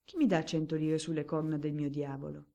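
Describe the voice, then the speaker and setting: A woman reading aloud and voicing a ruined male baron, a reckless gambler, with loud, scornful, theatrical speech. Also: low-pitched, little pitch movement, a little fast, muffled recording. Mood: defiant. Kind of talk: audiobook character voice.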